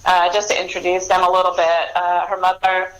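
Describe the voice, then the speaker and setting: A woman's voice: on the low side, monotone, fairly quick, low-quality recording. An American woman talking casually as an author in an interview, in a chatty, rambling way.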